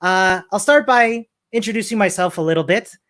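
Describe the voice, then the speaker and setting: A man's voice: high-pitched, normal speed, fairly bright tone. A man from Chile speaks at an online meetup over a video call. He has a friendly, upbeat manner, with fillers and easy laughs as he talks through his work. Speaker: conference speaker. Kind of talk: tech talk presentation.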